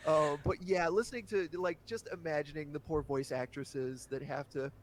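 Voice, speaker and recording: A man's voice: a little high, steady tone, normal speed, slight background noise, poor audio. A man guesting on a podcast, talking candidly in casual chat and breaking into laughter easily.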